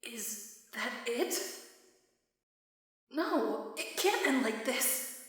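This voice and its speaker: A woman's voice: fairly high pitch, sing-song, very slow, heavily acted. A woman voicing a desperate, frightened youngster in an audio drama, with heavy echo on the voice. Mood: desperate, afraid. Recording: heavily echoing voice effect.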